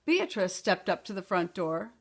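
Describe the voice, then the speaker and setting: A woman reading a children's picture book aloud as a storytime teacher, expressive and explanatory. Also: medium pitch, normal speed.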